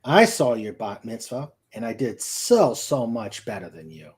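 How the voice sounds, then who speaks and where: A haughty teenage boy from a novel, acted out by a man. He boasts in an animated, swinging voice with a soft-edged, mellow tone. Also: medium pitch, unhurried. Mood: indignant, boastful. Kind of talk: dramatic novel reading.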